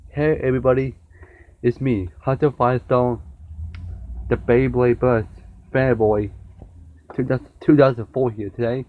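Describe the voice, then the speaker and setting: A young man with a sore throat reviewing a TV show in a casual vlog, rambling and often repeating and restarting his words. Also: medium pitch. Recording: dull lo-fi with faint noise.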